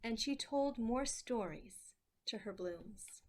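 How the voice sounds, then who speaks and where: A woman with a slightly high voice reads a children's story aloud. She takes her time, pausing between short phrases and giving the words expression. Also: expressive, a little mellow. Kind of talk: slow, expressive picture-book read-aloud.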